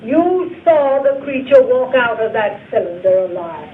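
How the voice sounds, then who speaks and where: A woman's voice: fairly high pitch, sing-song, measured pace, a little hiss or hum. An actress playing a rich, unscrupulous old woman in a 1960s horror film, speaking in a stern, imperious, suspicious way. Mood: sharp, suspicious. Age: older. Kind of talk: 1960s B-movie horror acting. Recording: muffled echoey old film soundtrack, distant, music behind.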